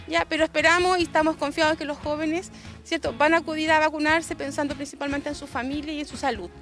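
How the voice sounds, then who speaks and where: A woman's voice: high-pitched, a bit bright. A Chilean woman speaking spontaneously as a health official in an interview, earnest and often checking with tag questions. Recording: music behind the voice. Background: faint music.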